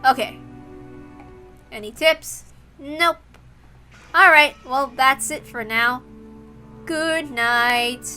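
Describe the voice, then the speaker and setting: A woman's voice: sits high, extremely slow, pauses often, bright, forceful tone. A woman streaming a read-aloud of a visual novel, informal in the way she reads and comments. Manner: chatty, informal between passages. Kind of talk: read-aloud narration with casual asides. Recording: music behind the voice.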